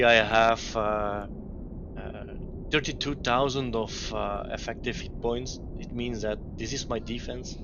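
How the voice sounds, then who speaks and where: A man from Belgium streaming a game, explaining his setup in halting speech full of fillers and restarts. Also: fairly low pitch. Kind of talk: live-stream gameplay commentary. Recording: dull lo-fi mic with clear noise.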